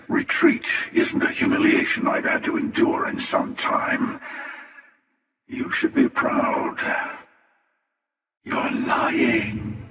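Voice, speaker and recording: A man voicing a jaded, menacing and sardonic immortal hunter in a video game, with a gravelly, breathy, drawling voice under otherworldly reverb. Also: very high voice, a bit bright, heavily acted. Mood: cold menace. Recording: long reverb, distant echoing sound.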